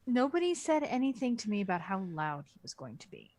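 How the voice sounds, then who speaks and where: A woman's voice: medium pitch, very animated, fairly quick, darkish tone. A woman co-hosting a comedic book club chat, bantering in a dry, sardonic way.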